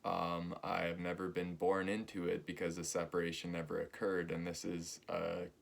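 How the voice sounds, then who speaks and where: A man in his early twenties giving a spiritual talk, slow and halting, with many pauses and fillers. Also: deep voice, monotone, gravelly. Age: 20s. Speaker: spiritual teacher.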